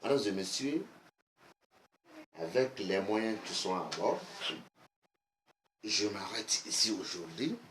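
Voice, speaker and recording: West african guy teaching, bambara first language, really slow and emphatic, repeats stuff, roomy echo. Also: lowish voice, animated, fairly bright tone. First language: Bambara (Bamanankan). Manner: emphatic repetition, deliberate. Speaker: geomancy teacher, online video host. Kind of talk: instructional explanation. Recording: echoey room, faint background noise.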